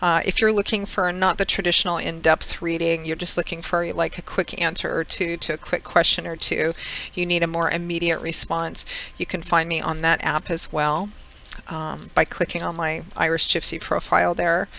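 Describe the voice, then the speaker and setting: A woman giving a chatty tarot reading, her voice hoarse and a little froggy from a cold. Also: level tone, moderate pace, sharp tone, slight background noise, low-quality recording. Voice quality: slightly froggy, squeaky. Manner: chatty, with many fillers. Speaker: tarot reader. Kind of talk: casual monthly tarot reading.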